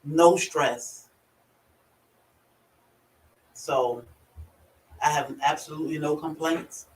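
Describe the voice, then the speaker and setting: A woman's voice: sits low, fairly even intonation, slow pace. Country-voiced Virginia woman in an echoey room. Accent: rural Virginia. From Virginia, USA. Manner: chatty, laughs often, greets viewers by name. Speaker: livestream host. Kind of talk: casual live chat. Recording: echoey room, far from the mic.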